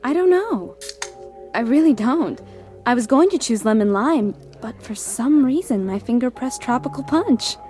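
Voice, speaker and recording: An actress plays a practical woman working in an office in a TV drama. She sounds casual and plain-spoken, a little high in pitch, over faint music. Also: lively intonation, normal speed, dark tone, close to an everyday voice. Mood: puzzled. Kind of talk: television drama acting.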